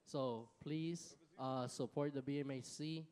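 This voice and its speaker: A man on a concert hall stage giving casual thanks and shout-outs into a microphone, switching between English and Spanish. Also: medium pitch, steady tone, slow pace, smooth. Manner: casual, hesitant stage patter. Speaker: bandleader and emcee.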